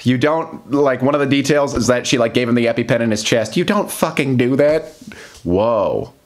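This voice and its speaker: A man's voice: medium pitch, moderate pace. An American man in his thirties talking casually to the camera in a rambling, self-deprecating, confessional monologue. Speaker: vlogger. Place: small plain room indoors.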